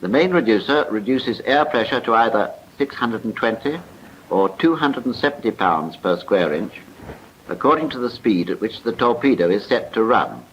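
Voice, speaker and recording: A man with a British accent giving a formal, precise scripted narration in the style of a 1940s military training film. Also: lowish voice. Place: voice-over recording for a film. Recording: muffled, noisy 1940s film soundtrack.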